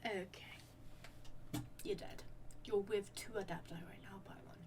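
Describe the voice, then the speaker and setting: A woman's voice: mid-range voice, steady tone, slow, in a whisper. A woman streaming a video game, making casual live reactions to the story as it plays. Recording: distant mic, game music behind.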